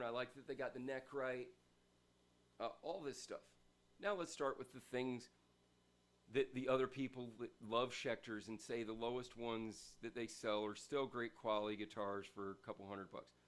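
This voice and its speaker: A man with a big, loud voice giving a rambling, emphatic guitar review from his home, swinging between loud bursts and quieter asides. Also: mid-range voice. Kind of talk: casual ranting gear review.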